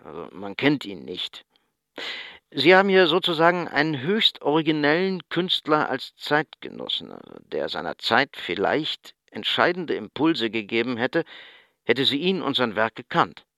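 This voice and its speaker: An actor playing an earnest academic expert giving wordy, emphatic interview commentary in a radio feature. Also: male voice, mid-range voice, wildly swooping pitch, normal speed, gravelly, bright, forceful tone, dry recording, clean studio sound, heavily acted. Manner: emphatic, wordy, repeats words for effect.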